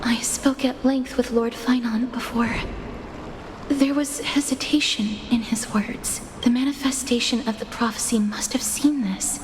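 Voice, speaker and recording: A woman playing a gentle, concerned young woman in a video game, soft-spoken, formal and deferential. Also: fairly high pitch, fairly even intonation, measured pace, close to an everyday voice. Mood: worried, solemn. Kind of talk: scripted game voice acting. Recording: loud ambient background noise.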